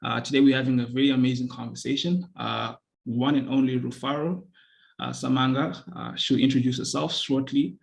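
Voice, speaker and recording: A young man hosts a podcast interview in an easy, casual way, often pausing on filler sounds. His voice has a gravelly, fry-tinged edge at a middle pitch. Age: young adult. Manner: relaxed, many "uh" fillers. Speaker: podcast host.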